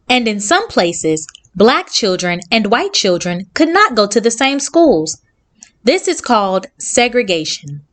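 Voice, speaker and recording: A woman hosting a children's read-along, reading aloud and addressing young listeners in simple, clearly phrased sentences. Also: a little high, fairly bright tone.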